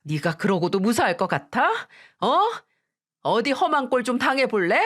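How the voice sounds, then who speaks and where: Furious older mother as a woman puts her on, menacing, shouting, pitch leaping around. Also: sing-song, normal speed. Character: furious older mother, threatening son-in-law.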